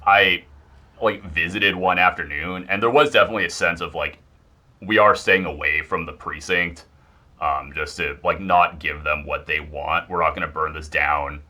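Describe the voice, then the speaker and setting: A man's voice: sits low, fairly even intonation, normal speed, sharp tone. A man talking casually as a podcast guest, rambling and hedging as he goes, often saying like.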